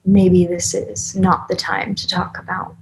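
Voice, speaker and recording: A woman reading the part of a suspicious investigator in a screen drama. Her replies are terse and guarded, with an unsettled edge. There is clear background noise and ambience around her voice. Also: on the low side, flat delivery, a little slow, rough recording. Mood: wary, terse. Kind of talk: screenplay table read.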